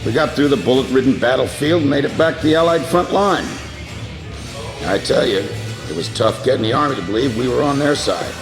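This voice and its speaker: A man's voice: mid-range voice, lively intonation, a little fast, natural voice, poor audio. A man playing a modest, good-humored old ex-fighter pilot in a game, talking in a wry, self-deprecating way. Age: older. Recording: guitar music behind the voice.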